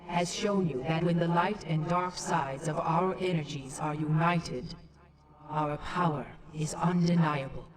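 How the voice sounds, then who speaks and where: An actress voicing a very old, regal alien matriarch in a video game, with stately, formal and solemn delivery. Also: female voice, lowish voice, close to an everyday voice. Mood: proud, resolute. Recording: distant voice over game music.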